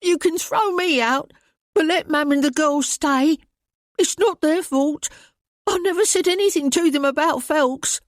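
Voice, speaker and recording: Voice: animated, slow, pauses often, a little mellow, dry recording, clean studio sound. A man voicing a frightened young boy servant who pleads and nearly cries, in a storybook reading. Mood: pleading, meek. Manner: high, pleading, on the verge of tears.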